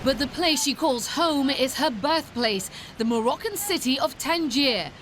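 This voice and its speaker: A woman's voice: sits high, expressive, unhurried, very noisy. A woman reporter reading scripted narration for a news profile.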